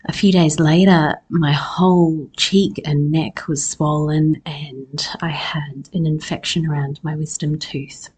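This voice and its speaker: A woman podcast host with a husky, hoarse voice, talking personally and reflectively in an unhurried solo episode. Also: deep voice, a little mellow. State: hoarse after losing voice. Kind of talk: personal solo podcast talk about herbs.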